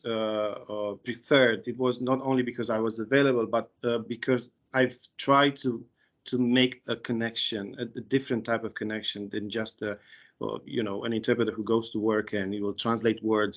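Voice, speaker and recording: A man in his thirties with a Romanian accent, an interpreter speaking hesitantly on a video call. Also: mid-range voice. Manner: hesitant, many fillers and restarts. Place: small room indoors. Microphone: video call webcam. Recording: dull lo-fi video call audio.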